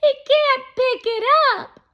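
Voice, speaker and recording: A woman voicing a loud, pushy, energetic young boy in a children's storybook, blurting things out eagerly. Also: extremely high pitch, slow pace, muffled recording, pitched above the performer's own voice. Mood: playful mock dismay. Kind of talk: children's storybook read-aloud.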